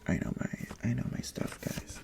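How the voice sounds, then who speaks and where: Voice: normal speed, very noisy. A young adult Tagalog speaker, rambling in a chatty whispered ASMR chat in a bedroom, often breaking off mid-sentence. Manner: rambling, chatty, self-interrupting whisper with sudden breathy exclamations. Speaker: ASMR creator. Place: bedroom indoors.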